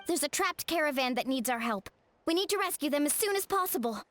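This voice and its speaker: A woman's voice: high-pitched, animated, fast, background noise. A woman voicing a young girl warrior in a video game, earnest and urgent.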